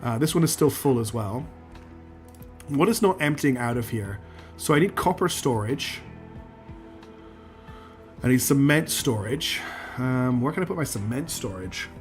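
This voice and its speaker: A man streaming a factory-building game, losing his voice and clearing his throat, talking himself through each step and answering chat. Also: mid-range voice, lively intonation, unhurried, pauses often, soft background music. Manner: talks himself through building steps, counts out loud, asks himself questions; answers chat messages as he plays. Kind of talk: live gameplay commentary, thinking aloud.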